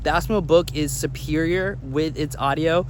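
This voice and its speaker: A man with a slightly high voice talks casually to his viewers, giving study advice like a vlogger. He repeats words to drive points home. There is faint outdoor traffic noise behind him. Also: moderate pace. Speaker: language-learning vlogger.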